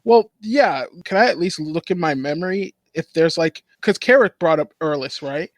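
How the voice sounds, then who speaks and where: A man's voice: on the high side, animated, normal speed, no echo, clean studio sound. A man playing a tabletop role-playing game, chatting casually and asking questions as he plays.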